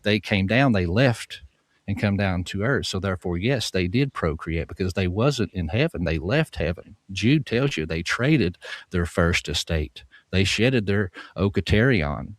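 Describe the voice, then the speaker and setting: A man's voice: deep voice, animated, moderate pace, no echo. A man with a Southern American accent, a guest author explaining at length in a folksy, earnest way on a livestream. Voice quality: soothing. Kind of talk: livestream conversation.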